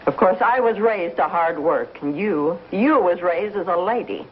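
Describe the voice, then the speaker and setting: A woman's voice: lowish voice, expressive, moderate pace, natural voice. An actress playing a stern, blunt pioneer woman with rustic speech in a 1950s television western. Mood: disapproving, blunt. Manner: blunt, rustic grammar, scolding. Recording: muffled, noisy 1950s TV soundtrack.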